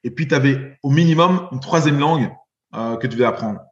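A man in his forties from Belgium, a podcast guest talking in a halting way, with many fillers and repeated words. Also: medium pitch, echoey room, lo-fi sound. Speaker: guest, investor. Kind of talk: podcast interview.

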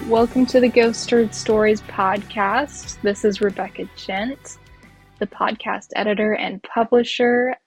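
Husky young American woman interviewing, dry sound. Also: mid-range voice, no room sound. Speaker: podcast host and interviewer. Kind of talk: casual conversational interviewing.

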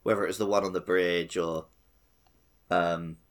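A man's voice: low-pitched, level tone, normal speed. A man co-hosting a casual gaming podcast, explaining his opinions conversationally. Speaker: podcast co-host.

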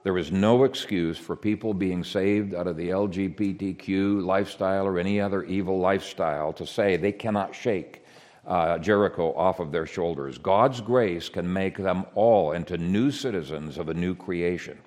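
Deep-voiced man preaching earnestly. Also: a little mellow. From Ethiopia. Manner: earnest, measured preaching with frequent "uh" fillers. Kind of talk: sermon.

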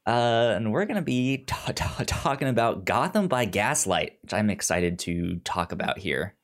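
A man hosting a podcast in casual chat, with a halting delivery full of stammered restarts. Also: on the low side, expressive. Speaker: podcast host. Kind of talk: casual chat about comics and film.

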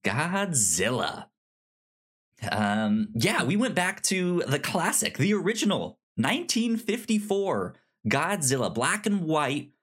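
Lively man hosting a podcast. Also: on the high side, slow, breathy edge. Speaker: podcast host. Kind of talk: casual podcast chat.

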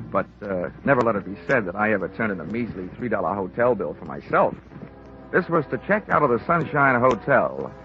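An actor playing a wry, sardonic insurance investigator narrating his case in 1940s radio detective style. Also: lowish voice. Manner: sardonic, wisecracking. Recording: muffled old radio recording, faint music.

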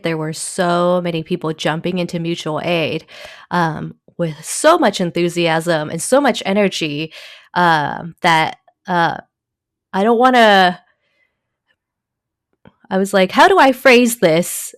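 A woman from Taiwan, a guest in a casual conversation, talking thoughtfully with many pauses, fillers and small laughs. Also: lowish voice, no echo, studio quality.

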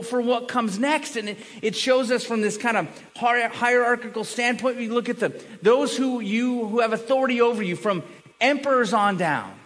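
A man's voice: sits high, a bit bright. A middle-aged man preaching a conversational sermon as a pastor, often repeating and restarting words as he earnestly explains. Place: large room, preaching to a congregation. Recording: long hall reverb.

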